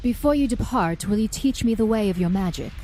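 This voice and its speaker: A woman playing a proud, determined claimant to a throne in a fantasy audio drama, speaking in a formal, measured way. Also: mid-range voice, animated, mellow, slight background noise, close to an everyday voice.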